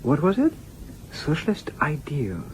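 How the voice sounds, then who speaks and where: An actor playing a fanatical, domineering male political leader in an audio drama, declaiming with dramatic pauses and hushed intensity. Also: on the high side, wildly swooping pitch, a little hiss or hum, poor audio. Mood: commanding.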